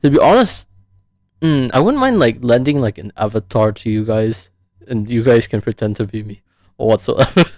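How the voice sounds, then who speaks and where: A man's voice: mid-range voice, animated, moderate pace, darkish tone, dry recording, clean studio sound. A man from the United States chatting casually as the host of a live stream podcast.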